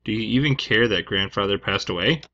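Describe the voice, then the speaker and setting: A man's voice: fairly low pitch, lively intonation, fairly quick, sharp tone, lo-fi sound. A man voicing an upset, defiant college-age daughter in game dialogue, hesitant and protesting. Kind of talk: reading game dialogue aloud.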